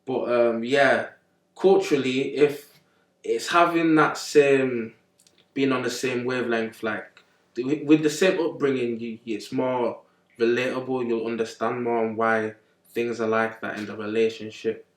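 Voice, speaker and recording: A young man chatting in a relaxed way, with lots of fillers. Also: mid-range voice, a little slow. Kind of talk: casual chat. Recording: echoey room, far from mic.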